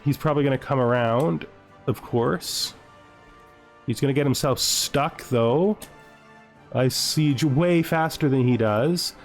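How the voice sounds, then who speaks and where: A man's voice: mid-range voice, takes it slowly, faint music behind. A man hosting a gaming video, thinking aloud in casual commentary and playfully gloating with in-character asides.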